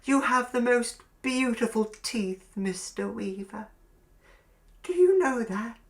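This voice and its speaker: A man voicing a sweet, eerily doting middle-aged landlady in an audiobook, fussy and gushing, with drawn-out emphasis. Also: very high-pitched, animated, a bit bright, pitched above the performer's own voice, full character voice. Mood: gushing, admiring. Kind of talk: audiobook character acting.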